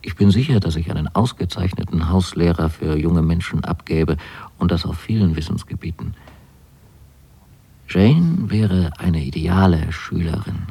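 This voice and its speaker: A naive, dreamy son in a literary radio play. His voice is low and mellow, slightly rough, and he muses politely in measured phrases. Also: heavily acted. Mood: dreamy musing. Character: dreamy, naive young son writing letters to his mother. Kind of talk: literary radio drama monologue.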